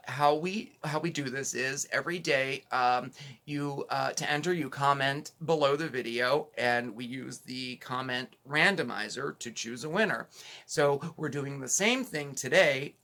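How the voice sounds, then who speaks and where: A middle-aged man hosting a casual home cooking channel, chatting and rambling to viewers with many fillers, his voice a little hoarse because he is losing it. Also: medium pitch, bright, forceful tone.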